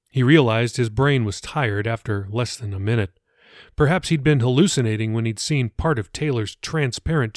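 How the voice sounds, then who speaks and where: A man's voice: medium pitch, dry recording, clean studio sound. A man reading his own novel as scripted audiobook narration for a podcast.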